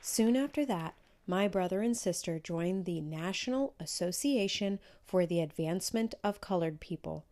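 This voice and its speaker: A woman's voice: on the low side, lively intonation, moderate pace, hardly any pauses, dark tone. A woman narrating a children's storybook in a scripted read-aloud.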